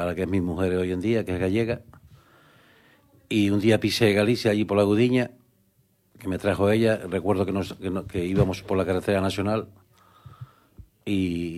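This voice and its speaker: A middle-aged man with a fairly low voice answers interview questions in an earnest, halting way. He often restarts his sentences and stretches out fillers as he thinks. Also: darkish tone. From Canary Islands. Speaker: guest, rural real estate agent. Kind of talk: conversational interview.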